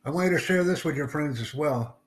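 A man's voice: a little high, a little fast. A man hosting a live online English class over a video call, upbeat and repetitive, dropping in simple Spanish greetings. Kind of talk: casual live-stream chat.

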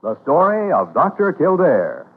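A man's voice: a little high, huge pitch swings, a little slow, very noisy. A man announcing a radio drama in 1940s broadcast style. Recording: narrow old radio recording with music.

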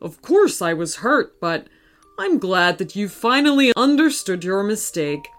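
A woman voicing a big, gentle, bashful male hippo in a storybook, earnest and sentimental. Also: mid-range voice, big pitch swings, unhurried, soft background music. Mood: bashful, forgiving.

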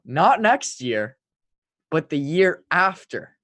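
A man's voice: fairly high pitch, very animated, takes it slowly, pushed and bright, no room sound. A French-speaking man co-hosting a casual sports podcast, talking in an emphatic, hyperbolic way. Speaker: hockey podcast co-host.

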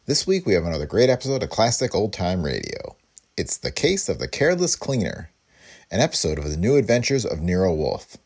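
A man's voice: low-pitched, a little mellow, breathy edge. A man hosting a podcast, reading a scripted introduction. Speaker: podcast host.

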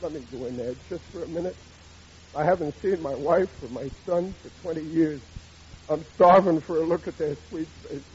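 A man's voice: a little high, normal speed, breathy edge. An actor playing a melodramatic, woeful middle-aged working-class father in 1940s radio comedy style, whining and ungrammatical. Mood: pleading. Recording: dull noisy old radio recording.

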